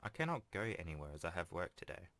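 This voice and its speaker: A man's voice: low-pitched, sing-song, fast talker, fairly bright tone, no echo. A young man reading a visual novel aloud as a let's play host, stumbling at times and breaking off into casual asides. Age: young adult. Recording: voice over game music. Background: game music.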